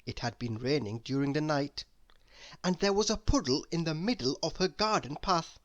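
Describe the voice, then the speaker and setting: A woman reading a children's story aloud as an expressive narrator. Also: sits low, moderate pace. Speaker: story reader.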